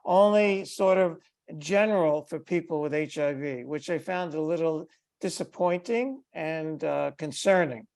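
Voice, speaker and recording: A man's voice: on the high side, unhurried, darkish tone. An older man speaking insistently as an advocate in an online meeting, heard through a headset mic. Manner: insistent, emphatic. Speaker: advocate for older people with HIV. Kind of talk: video call meeting discussion. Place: bright room indoors. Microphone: headphones on a video call.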